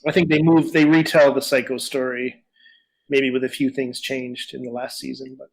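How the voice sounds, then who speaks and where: A man's voice: medium pitch, level tone, moderate pace, gravelly, low-quality recording. A man co-hosting a podcast, talking casually in a rambling, opinionated way. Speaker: podcast co-host. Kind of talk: casual conversational discussion.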